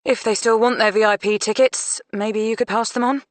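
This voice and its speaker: A woman voicing a hurt, guarded young woman, quiet and wry. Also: fairly high pitch, steady tone, moderate pace, no echo, pitched above the performer's own voice, close to an everyday voice.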